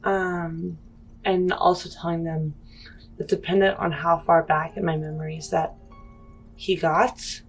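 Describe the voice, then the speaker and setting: A woman's voice: fairly low pitch, little pitch movement, measured pace, breathy edge, low-quality recording. A woman playing a tabletop role-playing game, improvising in character in a hesitant manner. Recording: music behind the voice. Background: music.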